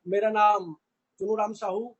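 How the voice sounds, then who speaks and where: A man with a very high, husky voice gives an earnest product training talk. He speaks to his listeners as friends and comes back to the same phrases again and again. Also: little pitch movement, unhurried, muffled recording. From India.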